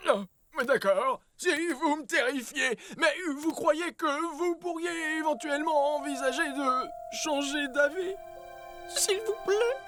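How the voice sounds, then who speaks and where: Nervous bug mayor: polite, doting, easily cowed, high register, heavy music underneath. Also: female voice, unhurried. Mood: nervous pleading. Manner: flustered, polite, deferential. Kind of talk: animated cartoon dub acting. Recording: music behind the voice.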